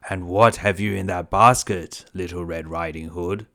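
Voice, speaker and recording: A man voicing a big wicked wolf in a children's storybook, gruff and slyly polite. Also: low-pitched, fairly even intonation, moderate pace, fairly bright tone.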